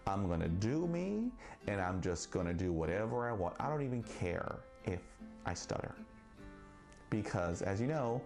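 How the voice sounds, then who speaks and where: Man, forties, stutters, deep-set unhurried delivery over soft guitar music; personal vlog monologue. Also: creaky. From Texas. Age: 40s. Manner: stutters, slow and deliberate with pauses. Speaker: vlogger. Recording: faint guitar music behind.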